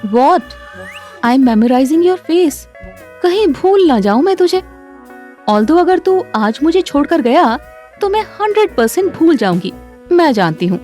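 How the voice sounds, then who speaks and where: A woman playing a clingy, excitable young wife with a playful, threatening streak in an audio drama. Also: high-pitched, slow, faint music behind, exaggerated voice. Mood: playful threat.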